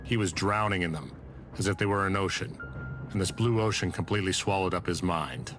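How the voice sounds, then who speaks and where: A man acts as a solemn storyteller for a visual novel. His voice is deep, reading literary narration with music clearly playing behind it. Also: fairly quick, lightly acted. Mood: solemn, dramatic. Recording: voice over prominent background music.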